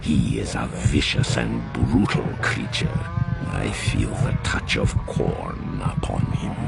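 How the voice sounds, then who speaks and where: An actor playing a savage, rage-driven warrior champion in an audio drama, with gravelly, menacing, intense delivery. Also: male voice, exaggerated voice. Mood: menacing, fierce. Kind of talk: audio drama first-person narration. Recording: loud rumbling battle noise behind the voice.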